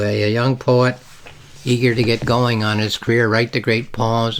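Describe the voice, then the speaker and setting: Give this voice to a man presenting literature he wrote himself. He speaks at an average male pitch, phrasing things deliberately and leaning into dramatic emphasis on the read passages. His explanations are informal, like an author talking a viewer through the pages. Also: a bit bright. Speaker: novelist reading from and explaining own novel.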